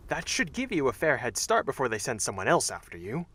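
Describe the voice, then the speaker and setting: Male actor, cartoon dragon assassin, medium pitch, lively melodic delivery, charming, flippant, teasing. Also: a little fast, breathy edge, no echo. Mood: flippant, coaxing. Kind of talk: animated fan dub acting.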